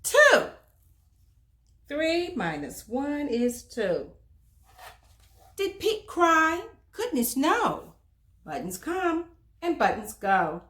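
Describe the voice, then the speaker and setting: A woman reads a children's storybook aloud as host, in an expressive, emphatic manner that speaks directly to young listeners. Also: sits high, extremely slow, pauses often. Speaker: children's book reader and host.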